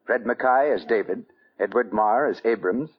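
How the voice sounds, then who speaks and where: On a dull vintage radio recording, a husky man announces formally. He reads a list of credits in 1940s broadcast style. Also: lowish voice, normal speed.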